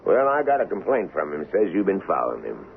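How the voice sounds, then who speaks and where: A hissy, muffled vintage radio recording with a frontier sheriff. His deep voice rises and falls broadly as he blusters in a folksy, officious way. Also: huge pitch swings, a little fast, full character voice. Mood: wary, folksy. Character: blustering, folksy small-town Old West sheriff. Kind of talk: old-time radio drama acting.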